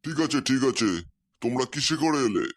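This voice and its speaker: A man's voice: mid-range voice, a little fast, lightly acted. A man voicing a genial, inquisitive older father-in-law figure in a comic cartoon, easily impressed and repeating his approval. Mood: welcoming.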